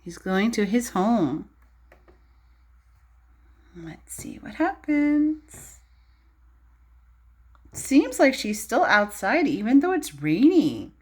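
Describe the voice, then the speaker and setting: Female early-years teacher, interactive storytime read-aloud, very lively intonation, unhurried pace, clearly pitched at little kids. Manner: expressive, child-directed, often questioning and explaining. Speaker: preschool or early primary teacher.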